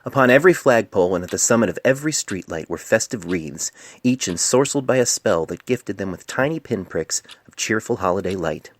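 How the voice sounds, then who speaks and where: Very deep-voiced male story narrator. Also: expressive, brisk, no room sound. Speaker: author narrating own story. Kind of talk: scripted fan fiction narration. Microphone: cell phone microphone.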